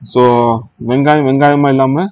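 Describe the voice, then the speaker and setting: A man's voice: mid-range voice, little pitch movement, a little slow, a little mellow, muffled recording. A man with a Tamil Nadu accent chatting casually as he reviews gear, mixing English words into his talk. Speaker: motorcycle vlogger reviewing gear. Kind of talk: casual product review chat.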